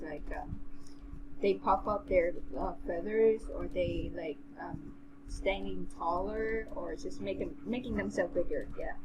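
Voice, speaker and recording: A woman with a mid-range voice co-hosts a casual read-along. She talks in a hesitant, rambling and earnest way, as if among friends. There is clear noise behind her. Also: medium pitch. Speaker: podcast co-host reading a novel aloud. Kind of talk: casual chat and amateur read-aloud with commentary.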